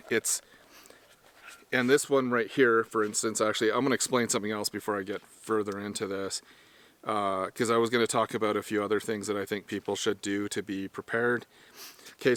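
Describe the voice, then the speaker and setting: A man with a hoarse voice from smoke, talking casually to camera about gear he sells, rambling and correcting himself as he goes. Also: fairly low pitch, fairly bright tone. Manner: rambling, self-correcting, plain-spoken. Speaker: firearms gear seller and trainer. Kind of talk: casual product talk to camera.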